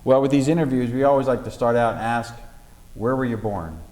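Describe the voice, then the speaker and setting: A man's voice: medium pitch, a little fast, darkish tone, long reverb tail. A man conducting an oral history interview, asking friendly questions with frequent fillers. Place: library room.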